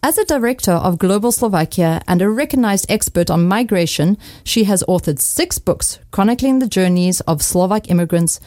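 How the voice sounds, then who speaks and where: A woman's voice: mid-range voice, animated, moderate pace, hardly any pauses, mellow, no echo, studio quality. A woman hosting a radio program, presenting scripted introductions and interviewing guests. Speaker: radio host.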